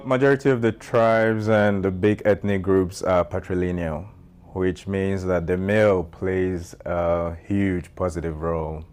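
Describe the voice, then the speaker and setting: Deep-voiced Ghanaian man, measured. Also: a little mellow. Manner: measured, with frequent fillers and restarts. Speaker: guest, nonprofit founder. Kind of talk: interview answers.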